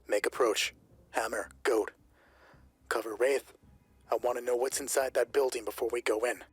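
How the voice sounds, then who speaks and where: A man's voice: mid-range voice, fairly even intonation, normal speed, slightly rough. A man playing a stern military squad captain in a roleplay story, terse and commanding. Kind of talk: tabletop roleplay character voice.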